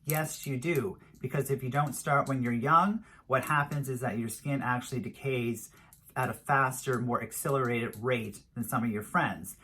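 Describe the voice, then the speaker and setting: A man's voice: medium pitch, fairly even intonation, sharp tone, a little hiss or hum. Middle-aged man, emphatic beauty advisor. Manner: emphatic, repetitive, drawn-out stress on key words. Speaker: beauty vlogger and skincare advisor. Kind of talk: informal product review to camera.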